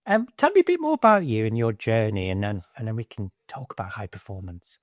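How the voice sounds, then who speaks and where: A man's voice: fairly low pitch, very animated, brisk, dry recording, clean studio sound. A man from the United Kingdom hosting an interview podcast, talking in a conversational way with fillers and restarts. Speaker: podcast host.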